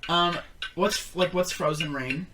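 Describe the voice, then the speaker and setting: A woman's voice: fairly low pitch, a little slow. A young adult woman co-hosting a podcast, slangy and sweary, groping for clues in a casual guessing game. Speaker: podcast co-host. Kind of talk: casual chat while playing a word guessing game. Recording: noisy room with clattering and clicks.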